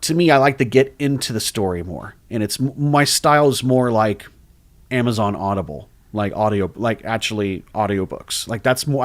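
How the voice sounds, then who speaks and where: A man who narrates horror stories, chatting casually on a livestream, rambling and animated, with lots of restarts. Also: lowish voice. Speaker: horror story narrator and streamer. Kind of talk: casual livestream chat reading comments.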